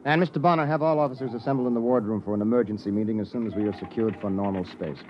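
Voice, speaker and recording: An American man playing a grave, measured, authoritative spaceship captain in 1950s radio drama style. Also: lowish voice, natural voice. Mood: crisp command. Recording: muffled vintage 1950s radio recording.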